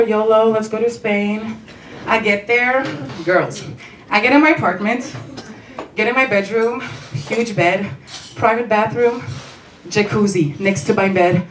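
A young woman with a Belgian accent tells stand-up stories in a playful, performative way, acting out dialogue. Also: medium pitch. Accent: non-native English, Belgian. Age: young adult. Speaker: stand-up comedian. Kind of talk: stand-up comedy storytelling. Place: small comedy club stage, indoors. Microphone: handheld stage mic, recorded by distant camera. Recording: roomy, distant, noisy club recording.

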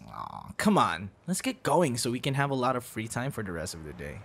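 A male voice actor plays a young man in an ASMR-style boyfriend roleplay, chatting casually and teasing his companion. His mid-pitched voice moves around in a lively way, with faint ambient noise behind it. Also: fairly quick, vocal fry. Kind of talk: ASMR boyfriend roleplay, intimate one-sided dialogue.

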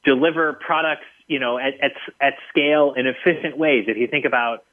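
A man's voice: mid-range voice, fairly even intonation, fairly quick. A man being interviewed as a book's author, answering thoughtfully with many fillers and restarts. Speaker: guest author. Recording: tinny phone-line audio.

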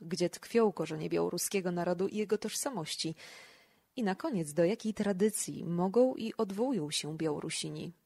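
Low-pitched woman hosting a podcast. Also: moderate pace, gravelly, a little mellow, breathy edge, no echo. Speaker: podcast host. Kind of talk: scripted podcast narration.

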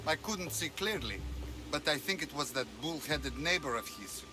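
A man's voice: a little high, animated, normal speed, lightly acted. An actor playing an earnest, shaken male Greek villager who gossips as an eyewitness in a video game. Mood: shaken, accusing. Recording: noisy outdoor ambience. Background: ambient noise.

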